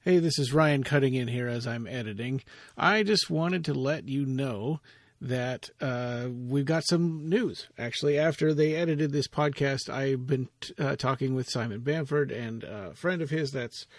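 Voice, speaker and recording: A man's voice: medium pitch, a little slow, no echo, studio quality. A man hosting a podcast, giving an informal, enthusiastic announcement to listeners. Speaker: podcast host and editor.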